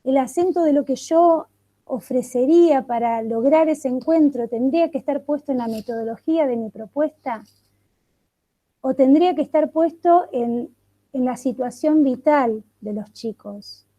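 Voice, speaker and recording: A woman leading an online catechesis workshop over a video call, speaking reflectively and posing one question after another with thoughtful pauses. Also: high voice, dark tone, rough recording.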